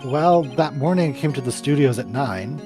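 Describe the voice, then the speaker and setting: A polite, earnest young TV star, acted by a man for a game dub. The pitch is medium and lively, with clear music playing behind the explanatory delivery. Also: moderate pace, close to an everyday voice. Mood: sober recounting. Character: mild, earnest male TV action actor. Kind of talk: live fan voice-over of a video game.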